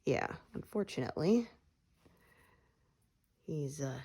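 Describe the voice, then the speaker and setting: A woman with a low voice in easygoing, rambling chat, plenty of "uh"s as she goes. She is middle-aged and still getting over a cold, so her voice sounds a touch stuffy. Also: slow, breathy edge. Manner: relaxed, meandering, frequent fillers. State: recovering from a cold, slightly congested. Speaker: cross-stitch vlogger. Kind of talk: casual chat while stitching.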